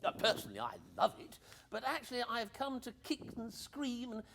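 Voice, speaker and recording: A man's voice: very high-pitched, very animated, brisk, gravelly, a bit bright, slight background noise, full character voice. An actor playing a breezy, cheeky upper-class English ex-army captain in a radio play, with hearty old-boy banter. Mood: breezy, persuasive.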